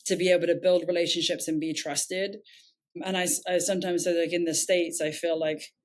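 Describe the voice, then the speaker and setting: A woman's voice: fairly low pitch, flat delivery, fairly quick. A woman with an English accent, a nonprofit founder telling her story in an interview, reflective and earnest, often correcting herself mid-sentence.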